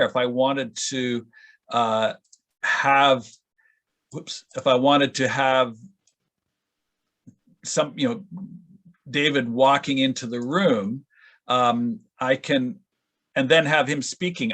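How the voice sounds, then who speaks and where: Man giving a halting software walkthrough. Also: mid-range voice. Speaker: audio editor demonstrating at a conference session. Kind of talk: informal software tutorial. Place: online video call with screen sharing.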